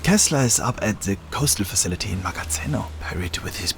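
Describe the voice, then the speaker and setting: An actor playing a sly, boastful German officer turned traitor in a war game, with a mocking, wheedling manner. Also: male voice, on the low side, lively intonation, quick pace, gravelly. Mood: smug. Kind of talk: cinematic game voice acting. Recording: noisy ambience behind the voice.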